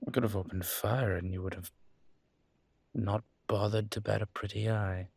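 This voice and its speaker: A man playing a charming, teasing mafia boss who flirts politely in an intimate roleplay audio drama, with playful pauses. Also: on the low side, steady tone, creaky, darkish tone, husky, no echo. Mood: apologetic, teasing.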